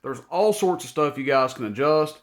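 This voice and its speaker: A man's voice: on the high side, expressive, normal speed. A man giving an informal, matter-of-fact, rambling rundown of technical gear as a seller. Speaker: radio and amplifier seller presenting inventory. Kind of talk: informal product rundown to camera. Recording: noticeable background noise.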